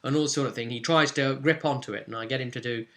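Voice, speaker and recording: A man's voice: mid-range voice, quick pace, fairly bright tone. A man casually recounting a tabletop game session as game master, in a rambling, explanatory way with emphatic stresses. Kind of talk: casual unscripted game recap storytelling.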